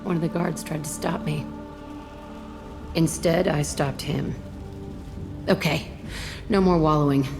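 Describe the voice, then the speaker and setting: A hard, haunted young woman from a video game, scarred by prison and bent on revenge. Her voice sits low, with blunt, defiant delivery. Music plays clearly behind her. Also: steady tone, a little slow, long pauses. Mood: haunted, bitter. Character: angry tough young female ex-prisoner, haunted, vengeful. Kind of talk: dramatic video game acting.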